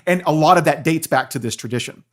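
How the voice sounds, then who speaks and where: A man explains ideas in a conversational podcast style. His delivery is animated and story-driven, and he keeps checking that the listener follows. He speaks as a knowledgeable guest expert. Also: fairly high pitch, fast talker. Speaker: guest, philosophy professor and author.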